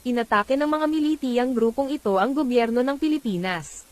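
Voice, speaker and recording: A woman's voice: fairly high pitch, brisk, a little mellow. A woman narrating a scripted emergency bulletin as an announcer.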